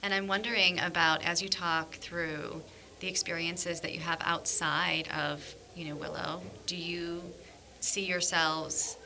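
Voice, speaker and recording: A woman's voice: lowish voice, flat delivery, a little slow, vocal fry, a crowd in the background. A woman, a former school principal, giving thoughtful, articulate answers as a guest in a podcast interview.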